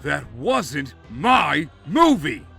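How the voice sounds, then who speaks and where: Man doing a high, cocky Hollywood action star. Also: big pitch swings, very slow, vocal fry, pushed and bright, soft background music, exaggerated voice. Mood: indignant bravado. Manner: brash, exclamatory. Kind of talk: amateur comic dub voice acting.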